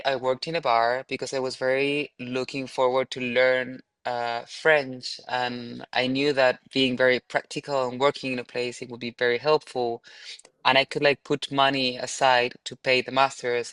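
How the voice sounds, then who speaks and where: A man's voice: mid-range voice, fairly even intonation, moderate pace, a bit bright, clear, resonant tone, no echo. Chilean-accented man chatting, close and dry. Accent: Chilean Spanish. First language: Spanish. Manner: rambling, frequent "like". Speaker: postgraduate alumnus. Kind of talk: casual webinar chat.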